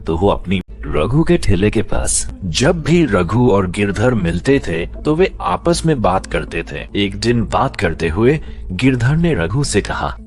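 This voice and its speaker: A man's voice: fairly low pitch, sing-song, slow pace. A man narrating a scripted cartoon story as a storyteller. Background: faint music.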